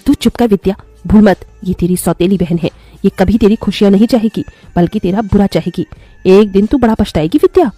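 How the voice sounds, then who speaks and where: A woman's voice: mid-range voice, brisk, no room sound, faint music behind, close to an everyday voice. A woman playing a spiteful middle-aged stepmother in a cartoon melodrama, haughty and taunting. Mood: furious. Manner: taunting, haughty, sharp-tongued. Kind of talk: Hindi animated melodrama acting.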